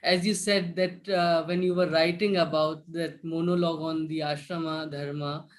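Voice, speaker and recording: A man's voice: on the high side, little pitch movement, moderate pace. A man hosting a live-streamed interview, speaking politely and hesitantly, often restarting his sentences. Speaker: interviewer host.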